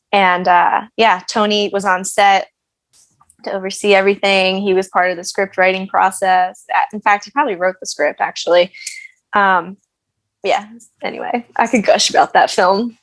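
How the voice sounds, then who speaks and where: An American woman telling stories in a casual interview, animated and self-deprecating in her humour. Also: medium pitch, no room sound. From United States. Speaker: guest, actress and singer.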